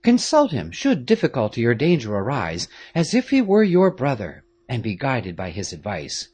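A man voicing a gentle aristocratic French mother in an audiobook, speaking softly and tenderly. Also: mid-range voice, wildly swooping pitch, normal speed, husky, voice pushed up. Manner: soft, tender.

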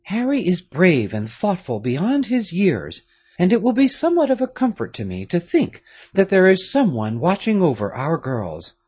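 Soft-spoken noble french mom, read by a man, tender, husky, pitched up high. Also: huge pitch swings, normal speed, full character voice. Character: gentle noble French mother, Marquise.

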